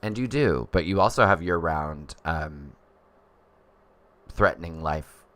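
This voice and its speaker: A man's voice: very deep voice, animated, slow, fairly bright tone. A man in his thirties chatting casually on a livestream, rambling as he reads viewer comments. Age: 30s. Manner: chatty and rambling, many fillers.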